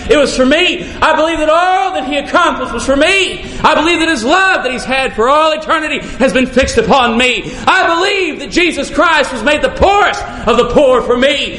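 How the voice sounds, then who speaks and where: A man preaching a sermon in a fervent manner, building to shouted refrains and easing into stories. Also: a little hiss or hum. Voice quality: says his voice is deep, sings bass. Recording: echoey room with faint ambience.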